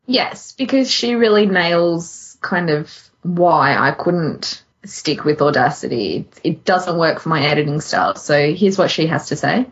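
A woman's voice: sits low, expressive, a little slow, hardly any pauses, highs cut off. A woman co-hosting a podcast in casual chat, giggly as she talks. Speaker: podcast co-host.

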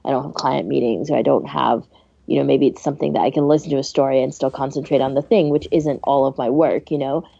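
A woman's voice: rumbling low, steady tone, fast talker, creaky, warm tone, husky, dry recording. A woman co-hosting a podcast in a casual, informal chat, giving her opinions freely on a dull recording. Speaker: podcast host.

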